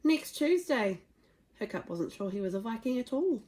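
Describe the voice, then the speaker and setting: A woman reading a children's storybook aloud with expressive, dramatic emphasis. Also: on the high side, moderate pace, a little hiss or hum. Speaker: storybook reader.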